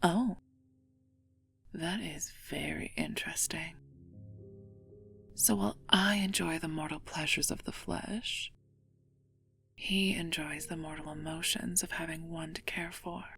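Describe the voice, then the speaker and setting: A woman acts out a regal goddess in an ASMR audio drama, playful yet wistful. Her voice sits low with a creaky edge, often sinking into a breathy whisper, and she muses in a slow, teasing way. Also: steady tone, a bit bright, soft background music, full character voice. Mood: intrigued, musing. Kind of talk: intimate ASMR roleplay acting.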